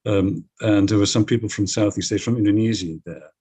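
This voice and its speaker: A man's voice: fairly low pitch, fairly even intonation, brisk, dark tone, slightly rough. A middle-aged man whose first language is Dutch, speaking on a panel discussion with blunt, emphatic opinions.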